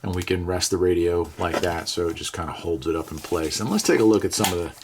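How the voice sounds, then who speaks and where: A man's voice: low voice, fast talker. An American man hosting a casual product review, talking conversationally and enthusiastically and repeating phrases for emphasis. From United States. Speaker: radio reviewer and video host. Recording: clear background noise, clicks of handling.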